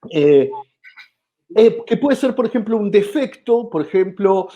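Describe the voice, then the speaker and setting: Argentine man, comedian guest, mellow tone, slightly high voice, hesitant with fillers. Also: expressive, measured pace. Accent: Argentine Spanish. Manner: hesitant, many fillers and restarts. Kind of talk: explanatory interview talk.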